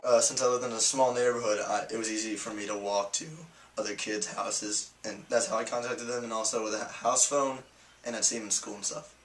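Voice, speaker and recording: Low-voiced teenage college guy. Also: brisk, slight background noise, poor audio. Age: teens. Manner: relaxed, hesitant, fills with uh and like. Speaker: college freshman interviewee. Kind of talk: casual interview answers.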